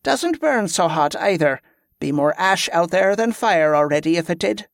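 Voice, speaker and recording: Fantasy audiobook, stern woman commander played by a man, raised pitch, scornful, clipped orders, inverted dialect phrasing, dry sound. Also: expressive, normal speed, creaky, dry recording. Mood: assessing, scornful.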